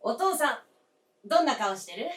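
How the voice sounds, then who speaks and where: A man playing a talkative grown son and husband in a staged radio drama, familiar and gently bossy. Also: very high-pitched, big pitch swings, moderate pace, heavily acted. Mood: eager, instructing. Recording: echoey stage, distant microphone.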